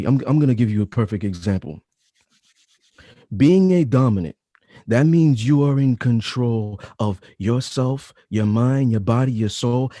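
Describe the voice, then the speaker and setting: A man with a deep, mellow, dark voice talks as a guest in a casual interview. He is emphatic and slangy and repeats phrases for weight. His voice sounds dry and close. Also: no room sound. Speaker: author and guest. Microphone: home mic setup.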